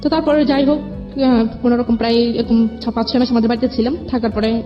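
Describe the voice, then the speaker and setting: Young woman, quick rambling personal storyteller quoting conversations, dull lo-fi sound over music. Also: a little high, fairly even intonation. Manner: rambling, repeats herself, quotes conversations. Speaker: guest telling own life story. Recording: dull lo-fi audio with music behind.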